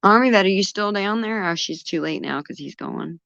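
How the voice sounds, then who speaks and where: A woman's voice: mid-range voice, normal speed, vocal fry, a bit bright, no echo. A woman chatting casually as a guest on a livestream, heard through dull, lo-fi stream audio.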